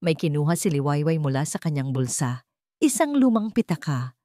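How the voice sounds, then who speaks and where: A woman's voice: sits low, big pitch swings, moderate pace, warm tone, dry recording, studio quality. A woman with a Filipino accent reading a dramatic story as its narrator in scripted storytelling style.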